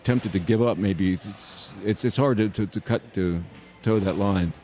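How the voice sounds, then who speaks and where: A man talking in a halting, thoughtful way on a podcast, often repeating words as he thinks. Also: on the low side, mellow, a little hiss or hum. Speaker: guest. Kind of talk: casual chat.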